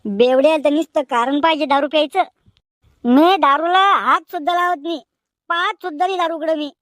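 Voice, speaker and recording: An extremely high-pitched young village man from a cartoon comedy , acted by a woman. He banters colloquially in a rural Maharashtrian Marathi accent. The sound is dry and close. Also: fairly quick, a bit bright, no room sound. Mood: argumentative.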